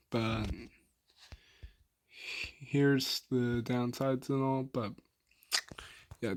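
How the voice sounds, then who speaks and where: A young man with a hoarse, tired voice, casually running through his favorite weapon builds with lots of fillers and trailing sentences. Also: medium pitch. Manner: laid-back, filler-heavy, trailing off. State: hoarse, soft on mic. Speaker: gaming YouTuber.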